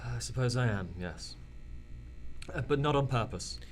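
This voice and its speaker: A man's voice: medium pitch, lively intonation, a little slow, natural voice. A hesitant, defensive murder suspect, played by a man picks his words warily. His voice sits in the middle range, with faint noise behind it in a student radio play. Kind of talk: amateur radio drama acting.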